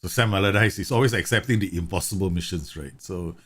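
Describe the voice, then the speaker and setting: A man's voice: deep voice, fast, a bit bright. A man from Singapore live-streaming a football management game, chatting casually, reading game news aloud and laughing often. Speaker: gaming streamer.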